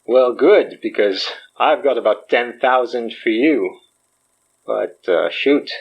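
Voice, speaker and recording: A man's voice: medium pitch, slow pace, creaky, breathy edge, muffled recording, exaggerated voice. An actor playing a wry, self-deprecating man in a naturalistic audio drama, joking and rambling as he talks. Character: wry, self-deprecating man, recently freed from possession, fake guru healer.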